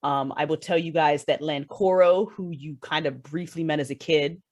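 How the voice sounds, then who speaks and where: Emphatic woman with a lowish voice. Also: moderate pace. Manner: rambling, emphatic, freely swearing. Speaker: guest, audio drama writer and creator. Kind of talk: casual, candid interview chat.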